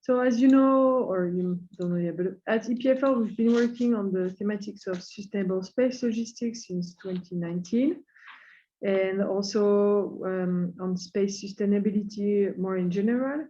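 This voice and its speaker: A woman opens an online seminar, welcoming everyone and running through the housekeeping rules. She speaks politely and somewhat haltingly, and her voice is mellow and dark. The call audio is dull and muffled, and she sits at a middle pitch. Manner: polite, halting, full of hesitations. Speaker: webinar host and moderator. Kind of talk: formal introduction and housekeeping. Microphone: computer mic on a video call.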